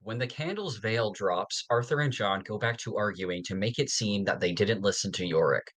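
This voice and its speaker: A man's voice: lowish voice, moderate pace, fairly bright tone. A man co-hosting a podcast in a casual, conversational style. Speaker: podcast co-host.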